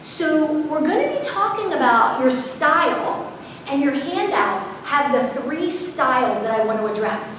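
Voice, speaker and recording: High-voiced woman seminar speaker, heard from far off in a big echoey hall, loud and unmiked. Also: a little slow, a little hiss or hum. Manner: loud, energetic, unmiked voice projecting to a room. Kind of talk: live seminar talk to parents. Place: school auditorium or large hall. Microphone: camera far off, speaker not miked.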